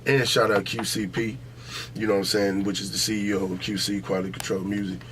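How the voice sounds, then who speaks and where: A man's voice: on the low side, flat delivery, fast talker, fairly bright tone, a little hiss or hum. An American man hosting a podcast, talking casually and emphatically with heavy slang and swearing, repeating his points. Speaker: podcast host. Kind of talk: casual opinionated podcast talk.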